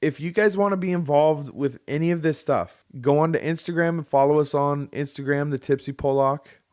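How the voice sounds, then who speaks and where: A man's voice: on the high side, brisk, darkish tone. Casual man hosting a podcast, dryly joking. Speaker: podcast host.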